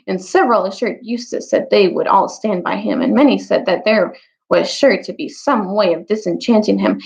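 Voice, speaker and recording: A woman storyteller giving an expressive read-aloud of a children's fantasy novel. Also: medium pitch, gravelly. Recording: dull, lo-fi audio.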